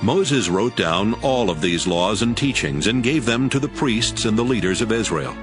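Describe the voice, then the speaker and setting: Male narrator, lowish pitch, scripted scripture storytelling, faint music behind. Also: animated, moderate pace.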